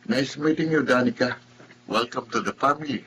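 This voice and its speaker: A man plays a gentle young blind brother in a radio drama. His voice is medium-pitched and soft, with clear noise in the background. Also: moderate pace, lightly acted, low-quality recording. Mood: friendly. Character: friendly, gentle blind teenage son and big brother. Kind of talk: Tagalog radio drama acting.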